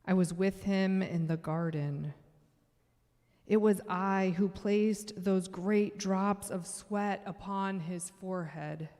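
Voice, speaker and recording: A woman performing a measured, solemn church reading as Fear, a menacing and boastful tormentor. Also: medium pitch, steady tone, warm tone. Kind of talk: dramatic church reading, monologue. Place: large church sanctuary. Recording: long reverberant church hall echo.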